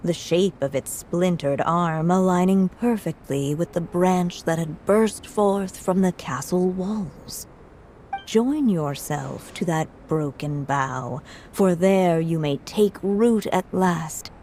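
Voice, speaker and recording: A woman narrating a fairy tale in a video game with gentle, soft storytelling. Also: lowish voice, a little hiss or hum.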